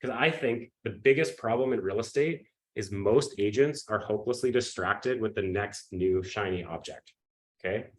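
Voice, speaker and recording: A man with a cold, sniffling and coughing, giving an energetic live webinar pitch and often checking in with his audience. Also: moderate pace. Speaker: webinar presenter.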